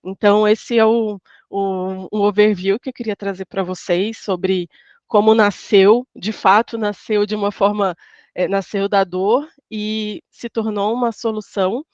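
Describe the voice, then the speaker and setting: A woman's voice: medium pitch, steady tone, a little slow, a little mellow, no room sound, dull audio. A woman speaking as a panelist over a video call, in a thoughtful way with many restarts and fillers. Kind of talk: panel talk.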